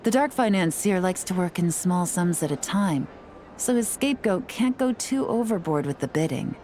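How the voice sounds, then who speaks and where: A woman voicing a calm, self-assured female spy and tea house owner in a video game, speaking in a measured way. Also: on the low side, close to an everyday voice. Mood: composed. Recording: game audio with faint music.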